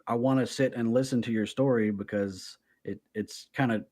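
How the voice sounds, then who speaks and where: A man's voice: mid-range voice, steady tone, normal speed, warm tone. A man from Florida co-hosting a casual podcast, talking in a friendly, enthusiastic way with many restarts.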